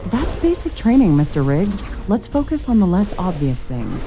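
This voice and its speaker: An actress playing a thoughtful, principled scientist-commando in a video game, speaking in a calm, articulate, wry way over music. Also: female voice, fairly low pitch, moderate pace. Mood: wry teasing. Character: thoughtful, principled female scientist-commando, wryly teasing, mech pilot. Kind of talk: scripted video game voice acting.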